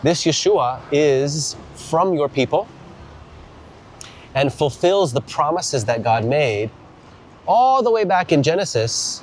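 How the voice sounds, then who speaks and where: Deliberate, encouraging man, slightly high voice. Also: expressive, a little hiss or hum. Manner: encouraging, explains slowly and deliberately. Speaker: evangelist host. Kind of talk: casual faith conversation. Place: city street outdoors.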